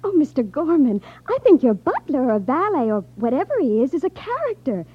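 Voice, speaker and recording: A gushing, high-voiced society woman. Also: big pitch swings, a little fast. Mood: gushing, amused. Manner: effusive, coaxing. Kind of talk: 1950s radio drama acting. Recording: dull 1950s radio broadcast recording.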